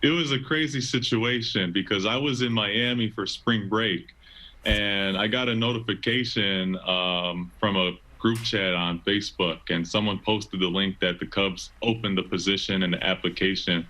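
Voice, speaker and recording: Young american guy, deep but bright voice, casual interview answers, earnest, lots of you knows. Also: fairly even intonation, normal speed, low-quality recording. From Chicago, United States. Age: 20s. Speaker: interview guest, stadium announcer.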